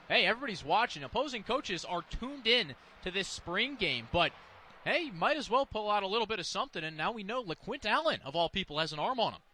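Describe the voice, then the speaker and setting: A man's voice: sits high, bright, forceful tone, slight background noise. A man with an American accent giving live color commentary on football in an explanatory, conversational way.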